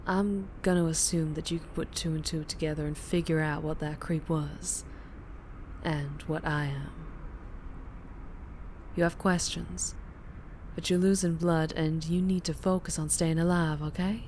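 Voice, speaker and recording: Slow Southern vampire biker woman, acted out by a woman. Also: low voice, fairly even intonation, darkish tone, slight background noise. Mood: soothing, flirtatious. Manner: teasing, protective, drawling. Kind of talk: intimate ASMR roleplay acting.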